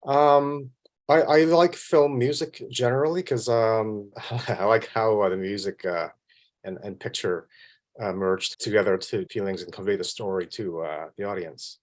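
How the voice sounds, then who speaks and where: A man from Vancouver with a Canadian accent, chatting casually as an interview guest in a modest, self-deprecating, halting way. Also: fairly low pitch, steady tone. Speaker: interview guest, film composer.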